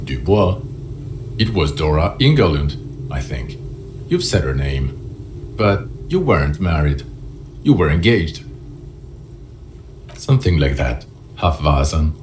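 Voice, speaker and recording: Low-voiced, blunt, jaded cop. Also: male voice, sing-song, takes it slowly, pauses often, darkish tone, slight background noise, full character voice. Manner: blunt, dry, sardonic. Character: jaded, blunt, foul-mouthed male police officer. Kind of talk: video game dialogue. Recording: music playing behind the voice.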